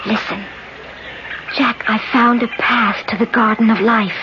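An actress plays a desperate but determined woman in a 1970s radio play. Her high voice is urgent and halting, repeating words, on a noisy, muffled broadcast recording. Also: fairly even intonation, lightly acted. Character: desperate, determined woman fighting to escape death. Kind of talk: 1970s radio drama acting.

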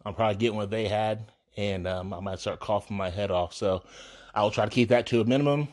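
Hoarse man hosting a casual podcast. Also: fairly low pitch, fast talker. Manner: relaxed, rambling, with frequent ums and coughs. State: hoarse from a cold. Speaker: podcast host. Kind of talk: casual solo podcast chat.

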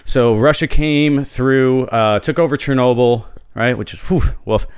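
A man's voice: mid-range voice, unhurried, clear, resonant tone, dry recording, clean studio sound. A man hosting a podcast, chatting casually, rambling and swearing freely. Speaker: podcast host.

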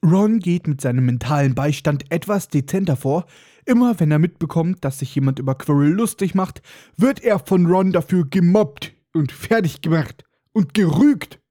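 A sarcastic man recaps a book chapter with mocking asides and a stuffy, congested nose. His voice is mellow and slightly raised in pitch. It sounds close and dry, with no room around it. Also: no echo. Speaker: podcast host. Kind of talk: comedic book-recap podcast.

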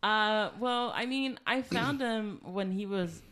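A woman's voice: medium pitch, slow, a bit bright, clean tone. A young woman co-hosting a podcast, telling a personal story in casual chat with lots of filler words. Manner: chatty storyteller, frequent "like" fillers. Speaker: podcast co-host.

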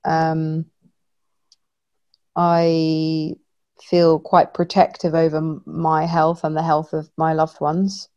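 A woman's voice: low-pitched, completely flat delivery, takes it slowly, darkish tone. Congested woman, muffled. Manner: hesitant with many ums. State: congested, slightly wheezy. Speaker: podcast co-host. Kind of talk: casual family chat.

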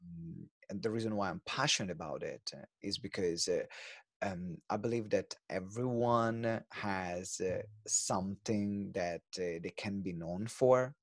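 A man's voice: deep voice, a little slow, no echo. A man from Italy with an Italian accent, a business owner answering interview questions with energy and lots of ums and uhs.

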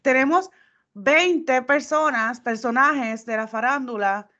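A woman, co-hosting a podcast and arguing her point in an animated way with many restarts. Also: high-pitched, slow pace. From United States, Puerto Rican family. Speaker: podcast co-host. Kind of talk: casual podcast chat. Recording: dull lo-fi sound.

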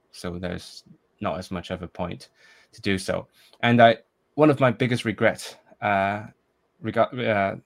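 Podcast guest: Cantonese-native man, lowish voice, thinks aloud, lots of restarts. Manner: thoughtful, hesitant with frequent fillers and restarts. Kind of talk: casual conversation.

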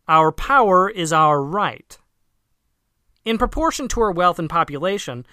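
A narrator reading a formal political essay aloud in a measured, emphatic way. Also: mid-range voice, bright, forceful tone, no room sound, studio quality. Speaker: audiobook reader.

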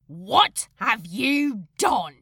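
An exasperated demon man acted by a woman in an audio drama, pitched high with a sharp, forceful edge. He is volatile and dramatic, swinging wildly through indignant, spluttering outbursts. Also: sing-song, drawn-out words, slightly rough, full character voice. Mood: furious, accusing. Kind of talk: fan audio drama acting.

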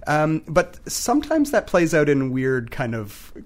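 A man working as an interviewer, speaking conversationally with hesitant, halting phrasing as he builds his thoughts. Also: fairly high pitch, wildly swooping pitch.

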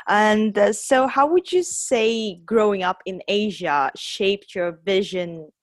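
A woman's voice: mid-range voice, slow. A woman hosting a casual interview podcast, chatty and often laughing. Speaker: podcast host.

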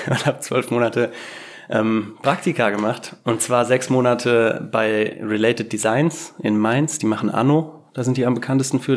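Rambling, self-deprecating young man. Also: mid-range voice. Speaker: guest game designer. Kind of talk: casual podcast interview. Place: Berlin.

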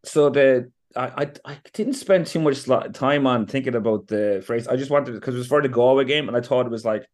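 A man's voice: mid-range voice, fairly quick, few pauses, darkish tone. A man with an Irish accent talking casually as a podcast guest, rambling with many restarts and laughing often. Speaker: podcast guest, fan banner maker.

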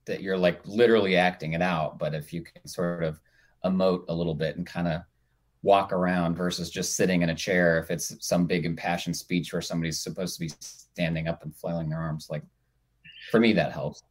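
A man's voice: sits low, flat delivery, a little fast. A man speaking as a TV writer and guest in a casual panel chat.

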